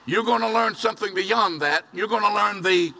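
An older man preaches from an outdoor podium with a bright, high-set voice. He is earnest and hammers home his key phrases. Vehicles hum faintly behind him. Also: moderate pace, vocal fry, low-quality recording. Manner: earnest, repeating phrases for emphasis. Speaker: pastor. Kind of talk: sermon. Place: outdoor church parking lot. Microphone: podium mic from a distance.

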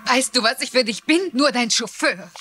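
An actress playing a frustrated, resentful wife in a dubbed TV crime drama, blunt and reproachful. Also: female voice, a little high, animated, moderate pace, bright, forceful tone, soft background music. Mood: reproachful, hurt. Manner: reproachful, intense. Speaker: voice actor in a German crime series dub.

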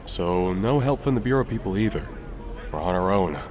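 An actor voicing a brash, good-natured young human fighter with an eager, goofy delivery. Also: male voice, sits low, animated, moderate pace, noisy background. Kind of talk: comic dub voice acting.

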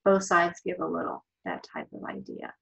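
A woman's voice: on the low side, very animated, normal speed, gravelly, lo-fi sound. Woman lecturing with frequent ums. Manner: thoughtful, explanatory, many ums and restarts. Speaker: college law instructor. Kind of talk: recorded slide lecture.

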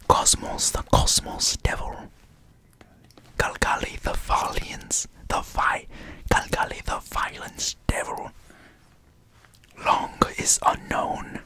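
A young man hosts ASMR in a breathy whisper right up on the mic. He takes his time, repeating words deliberately at a slow pace, with hardly any voiced tone at all. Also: bright, forceful tone. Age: 20s. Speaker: ASMR video host. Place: indoor room in front of green screen. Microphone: large foam-covered microphone, very close.

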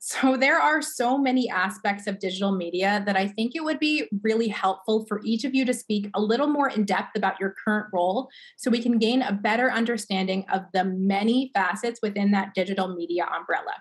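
A woman's voice: mid-range voice, brisk. A young woman moderating a panel on a video call, introducing and prompting guests in a prepared hosting style. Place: indoor room, on a video call.

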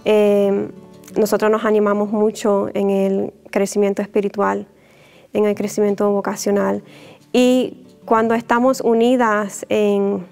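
A woman in her thirties from Puerto Rico, a religious sister giving a gentle, unhurried personal testimony to camera on a lapel mic in a church chapel, with soft fillers and small laughs. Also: medium pitch, flat delivery, gravelly, faint music behind. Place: indoor church chapel, mid-sized.

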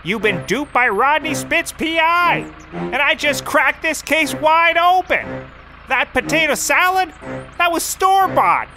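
A man's voice: extremely high pitch, very animated, measured pace, over music, exaggerated voice. An actor playing an eccentric, rambling male detective in an audio comedy, erratic in delivery. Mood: cocky. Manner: erratic, swinging from muttering to outbursts. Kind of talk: scripted comedy audio drama.

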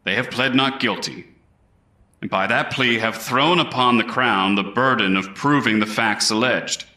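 Man playing a forceful colonial prosecutor, echoey room. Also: mid-range voice. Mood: grave, earnest. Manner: formal, deliberate, rhetorical. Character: grave, earnest colonial Crown prosecutor addressing a jury. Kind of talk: historical courtroom reenactment, formal oratory.

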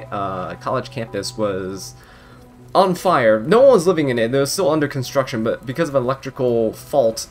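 A young man giving casual gameplay commentary in a chatty, amused way, wandering off on tangents. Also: mid-range voice. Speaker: gaming video creator. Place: voice-over recorded apart, game music behind.

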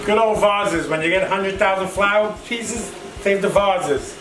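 A man's voice: high-pitched, a little slow. A middle-aged man hosting a casual cooking demonstration in short, exclamatory remarks, recorded in a home kitchen. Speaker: cooking show host. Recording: roomy echoing kitchen, music behind.

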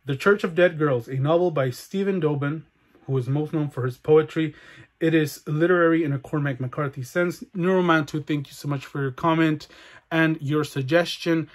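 Young mexican guy reading out book comments, chatty, laughs a lot, bit high voice. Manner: chatty, friendly, laughs often. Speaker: book vlogger. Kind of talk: casual chat reading viewer comments. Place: bedroom with bookshelves and neon light, indoors.

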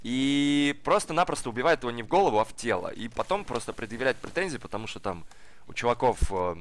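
A hoarse man commentating a live online game match in a casual, slangy way, speeding up during the action. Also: medium pitch, lively intonation, fast talker, slight background noise. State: hoarse from the cold. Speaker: esports commentator. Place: cold flat at home.

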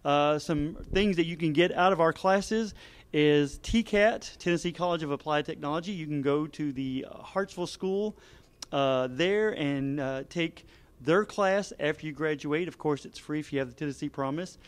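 A man, an auto mechanics teacher talking to students into a handheld mic, relaxed with frequent fillers. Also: a little high, normal speed. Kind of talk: informational talk to students. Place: indoor school classroom. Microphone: handheld microphone.

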